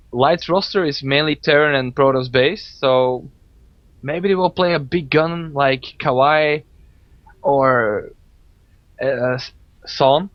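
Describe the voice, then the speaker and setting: A man from Belgium, not a native English speaker, casting a live esports match in a relaxed, chatty way with many fillers. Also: fairly high pitch, no echo. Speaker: esports caster. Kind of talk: live game commentary. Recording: dull lo-fi stream audio, faint music.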